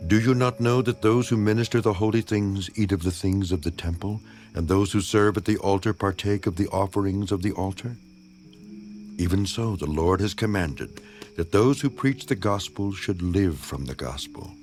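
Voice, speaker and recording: A man acts as an earnest biblical apostle defending his ministry in a dramatized scripture reading. His voice sits on the low side, solemn and emphatic, shaped like oratory. Music plays softly behind him. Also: moderate pace, lightly acted. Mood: solemn, resolute.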